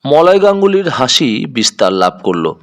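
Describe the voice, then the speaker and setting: A man's voice: medium pitch, very animated, moderate pace. A man narrating a scripted detective story as an audio storyteller.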